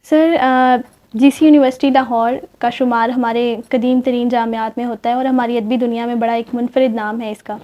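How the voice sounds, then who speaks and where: A woman presents a talk programme in a high-pitched voice. She pauses often as she frames her questions. The audio is a little muffled. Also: steady tone, dark tone. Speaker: talk show host. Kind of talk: studio interview questioning.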